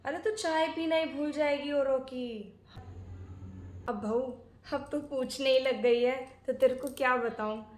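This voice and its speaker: A woman's voice: high-pitched, a little slow, a little mellow, slight background noise. A woman playing a scolding, self-righteous, old-fashioned older aunt in a dramatic, chatty domestic comedy skit. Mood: gossipy, boastful. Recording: echoey room.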